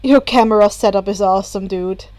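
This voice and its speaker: A woman's voice: medium pitch, a little fast, darkish tone, voice pushed down, lightly acted. A woman voicing a laid-back, friendly young man in a casual, reassuring manner for a story. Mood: easygoing.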